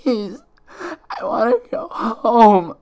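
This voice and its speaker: A man's voice: very high-pitched, wildly swooping pitch, extremely slow, darkish tone, voice pushed up, full character voice. A man voicing a terrified young female pony, stammering and pleading tearfully in a story reading. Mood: tearful begging. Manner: stammering, tearful, desperate begging.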